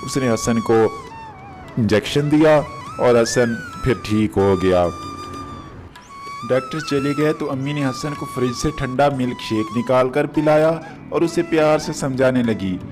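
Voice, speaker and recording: A man's voice: mid-range voice, wildly swooping pitch, a little slow. A man narrating a moral story for children as a storyteller. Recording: music behind the voice.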